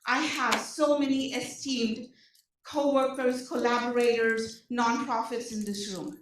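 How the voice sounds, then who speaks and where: Woman, Indian accent, animated presenter, higher voice, booming room echo. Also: unhurried. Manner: animated, rhetorical questions, frequent "okay?" check-ins. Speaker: nonprofit program presenter. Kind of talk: energetic public talk to an audience. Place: large meeting room. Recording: echoey hall, speaker far from mic.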